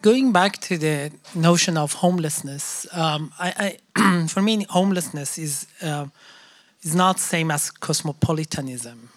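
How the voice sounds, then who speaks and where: Halting, thoughtful panel speaker. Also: medium pitch, no echo, soft background music. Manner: halting, many fillers and restarts, thoughtful. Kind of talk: reflective discussion.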